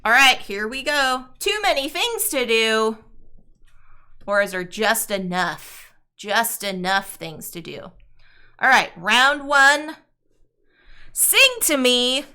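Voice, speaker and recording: Slow-talking bright-voiced woman streamer. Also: a little high, lively intonation, clear, resonant tone. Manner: chatty, talks to chat viewers, sings playfully. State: slightly raspy before morning tea. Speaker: streamer playing a solo card game. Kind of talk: casual live-stream commentary.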